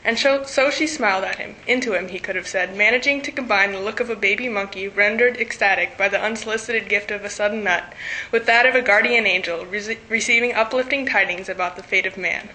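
A woman's voice: medium pitch, brisk, pushed and bright, poor audio. A teenage girl reading a scripted book review aloud. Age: teens. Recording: faint background noise.

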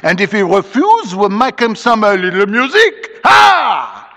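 A man's voice: sits high, huge pitch swings, measured pace, bright, forceful tone, in a large hall, voice pushed up, heavily acted. A man voicing a boisterous drunken Creole mob leader with a Louisiana French accent and slurred, swaggering delivery in a story. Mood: boisterous, mocking.

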